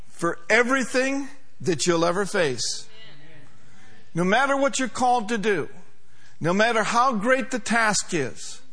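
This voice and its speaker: A man's voice: high-pitched, sharp tone. A male pastor preaching a sermon to a congregation, emphatic, with call-and-response.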